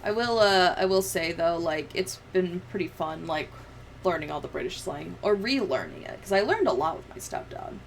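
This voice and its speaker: A woman's voice: lowish voice, expressive, normal speed, gravelly, slight background noise. A woman livestreamer chatting and telling stories in a casual, sweary, giggly way. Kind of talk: casual livestream chat, storytelling.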